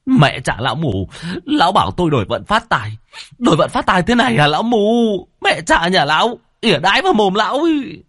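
A man voicing a desperate poor peasant who stammers, pleads and wails in a high, strained voice. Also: sing-song, takes it slowly, creaky, pauses often, dry recording, studio quality, full character voice. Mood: ranting.

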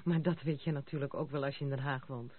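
A general's young granddaughter, direct and wry, speaking with disillusioned frankness. It is radio drama acting, captured on a tinny, narrow-band old recording. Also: female voice, rumbling low, steady tone, fast talker, breathy edge. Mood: disillusioned, confiding.

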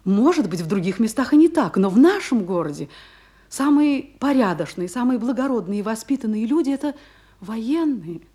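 A husky, mellow female voice with a languid theatrical delivery. a discontented, refined married gentlewoman, sensitive and disdainful, in a classical drama. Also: on the high side, big pitch swings, normal speed. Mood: wistful. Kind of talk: classical stage drama acting.

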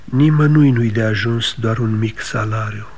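Man playing a calm, consoling neighbor. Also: lowish voice, slight background noise, close to an everyday voice. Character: steady middle-aged neighbor, sober and consoling. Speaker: actor. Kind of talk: 1960s radio drama acting.

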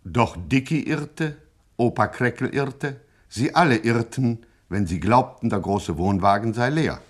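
A man with a husky voice giving dramatic scripted narration for a radio drama. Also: mid-range voice, animated, a little slow.

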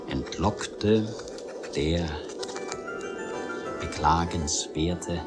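A man playing a sombre, pompous newspaper editor in a radio drama, with weighty, drawn-out phrases, breathy at times. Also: low-pitched, over loud music. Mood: ponderous. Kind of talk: literary radio drama acting.

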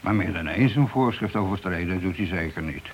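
A man's voice: low-pitched, expressive, fairly quick, highs cut off. A man playing an easygoing, evasive policeman and husband, hesitant and placating, in a Dutch radio drama. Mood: loyal, placating. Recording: hissy old radio play recording.

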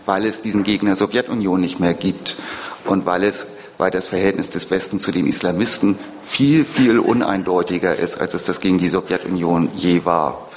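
A man giving an informal political talk in a halting way, thinking aloud as he goes. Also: sits low, a little hiss or hum. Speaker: speaker at a public lecture. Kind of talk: informal spoken political lecture answer. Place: reverberant lecture room or hall. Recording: echoey hall recording of live talk.

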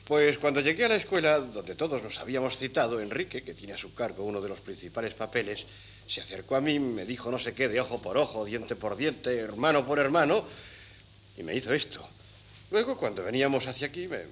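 A cheeky young man acted by a male performer in a seventies radio family comedy. He speaks at a middling pitch with sardonic, ready retorts, on a faintly noisy cassette recording. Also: expressive, fairly quick, a bit bright, close to an everyday voice. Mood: exasperated. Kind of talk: 1970s Spanish radio comedy acting.

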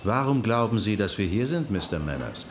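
An actor playing a police detective who questions pointedly and accusingly in a German radio crime drama. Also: male voice, lowish voice, sing-song, brisk. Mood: probing, sardonic. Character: needling, accusing police detective, keeping control. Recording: loud hum and background noise.

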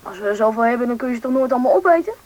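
Acted young boy, contrary and carefree, rapid speech, radio drama, faint noise on the recording. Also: mid-range voice, lively intonation, darkish tone, close to an everyday voice. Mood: curious. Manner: questioning, whiny, contrary. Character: stubborn, carefree young boy new to the taiga. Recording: noisy old radio play recording.

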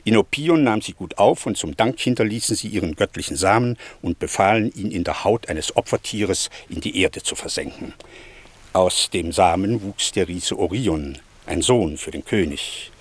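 An actor playing a stern, needling Greek sea captain in a German radio play, telling a tale pointedly with rhetorical questions. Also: male voice, deep voice, animated, moderate pace, breathy edge, no echo. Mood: pointed storytelling.

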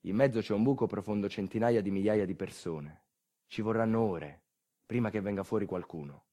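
A man delivering a dramatic monologue as a storyteller, intense and colloquial, moving between hushed and loud delivery. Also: lowish voice, fairly quick. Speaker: stage storyteller. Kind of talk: dramatic narrative theatre monologue.

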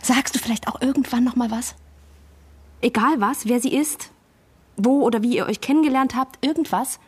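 An actress playing a hurt, exasperated wife in a radio drama, pressing for answers in an accusing, emotional, insistent way. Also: female voice, a little high, moderate pace, a little mellow, slightly rough. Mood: hurt, pleading. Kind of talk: naturalistic radio drama acting.

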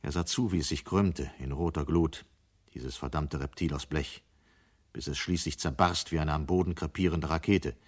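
An actor as a sober storyteller, giving scripted narration in a German radio play. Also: male voice, very deep voice, normal speed. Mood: grave, suspenseful. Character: sober male storyteller of a dystopian tale.

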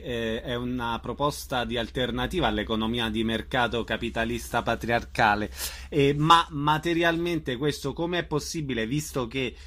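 Mid-pitched male interviewer. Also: a bit bright. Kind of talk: spoken interview questions.

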